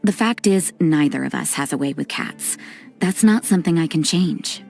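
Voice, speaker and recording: Casting: aloof young nun for a game, low voice, deadpan and unsentimental, faint music underneath. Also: female voice, moderate pace, gravelly. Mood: cool, matter-of-fact. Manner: deadpan, matter-of-fact. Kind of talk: English video game voice acting.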